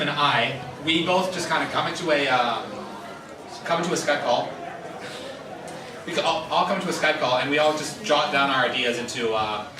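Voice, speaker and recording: A man's voice: mid-range voice, distant mic. Guy stumbling thru panel talk, noisy echoey room, recorded from way back. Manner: halting, stammering, loosely rambling. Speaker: convention panelist, fan dubber. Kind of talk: informal panel talk to a live audience.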